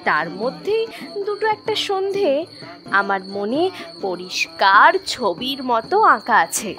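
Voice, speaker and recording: A woman playing a young, artless, eager village servant girl in an audio story, with a girlish, expressive delivery. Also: on the high side, slow, faint music behind, full character voice. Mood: wistful. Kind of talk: Bengali audio story acting.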